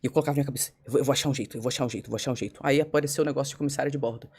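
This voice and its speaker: Woman, podcast guest. Quick, candid storyteller who acts out her own quotes. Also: extremely low pitch, breathy edge. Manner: fast, animated, acts out her own past words.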